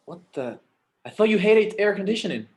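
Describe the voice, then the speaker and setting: Casting: male actor as grown son, higher-pitched, hesitant, exasperated but fond, screen drama table read. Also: very animated, a little fast, darkish tone. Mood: wry, teasing. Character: adult son, exasperated yet affectionate with his father.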